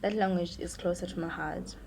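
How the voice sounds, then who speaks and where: A young woman of eighteen speaks English with a Xhosa accent, since Xhosa is her first language. Her voice is mellow and warm, and she moves steadily through a list of prepared questions. Faint background noise is audible. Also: fairly low pitch, brisk. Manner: steady, answers each question in turn. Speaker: student teacher. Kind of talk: reading prepared answers to questions.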